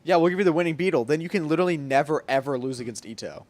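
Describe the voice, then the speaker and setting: A man's voice: on the high side, lively intonation, very fast, a little mellow, slight background noise. A young man giving casual let's-play commentary, joking and reacting in slangy language. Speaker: gaming streamer.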